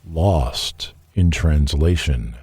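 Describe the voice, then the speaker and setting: An actor playing an ominous announcer of horror tale titles on a noisy old television broadcast clip. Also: male voice, very deep voice, drawn-out words, darkish tone, breathy edge, dry recording, studio quality, exaggerated voice.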